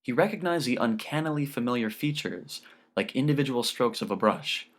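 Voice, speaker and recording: A man's voice: mid-range voice, animated, a little fast. Male audiobook narrator reading literary fiction.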